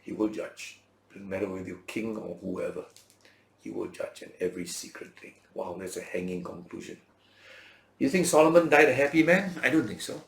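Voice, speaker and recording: A man preaching a Bible lesson as a teacher, in emphatic short phrases with rhetorical questions and a gravelly voice. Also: lowish voice, lively intonation, moderate pace. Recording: roomy, echoing sound.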